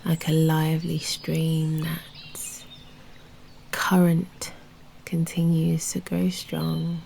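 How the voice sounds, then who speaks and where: Very slow, hushed British woman guiding meditation. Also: low-pitched, completely flat delivery, a little hiss or hum. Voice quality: soft, breathy, often near whisper. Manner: soothing, unhurried, with long pauses. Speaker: meditation guide and host. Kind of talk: guided meditation narration.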